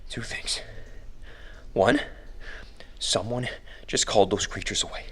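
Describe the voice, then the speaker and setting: An actor playing a frightened, desperate trapped man with a wry streak, recording himself in a breathy audio drama monologue. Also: on the low side, a little slow, a bit bright. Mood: shaken, uneasy.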